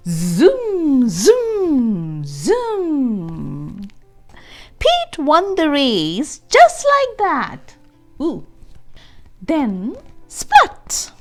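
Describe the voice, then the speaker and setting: A woman reading a children's storybook aloud as host, expressively and deliberately, adding explanations and questions for young listeners. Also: fairly high pitch.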